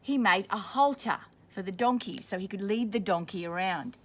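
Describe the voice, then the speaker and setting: Aussie woman reading a kids book out loud, stops to explain the hard words. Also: medium pitch. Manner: pauses to explain words simply. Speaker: school staff member reading aloud. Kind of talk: reading a picture book to children.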